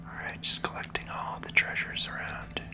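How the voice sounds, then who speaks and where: A person whispering gentle, unhurried ASMR commentary while playing a video game. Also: music in the background. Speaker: gaming video host.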